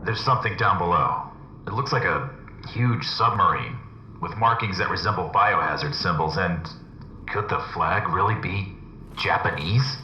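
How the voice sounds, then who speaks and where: An actor playing a gruff, cynical special agent in a video game, speaking in terse, sardonic military reports. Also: male voice, sits low, normal speed, dull audio, natural voice. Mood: wary. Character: gruff, cynical male special agent, hardened war dog. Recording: echoey room, clear background noise.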